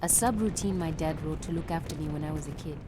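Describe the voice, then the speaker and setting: A woman's voice: deep voice, quick pace, background noise, close to an everyday voice. An actress playing a plucky, anxious young woman on the run in an audio drama, urgent with wry asides. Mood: wry, reflective.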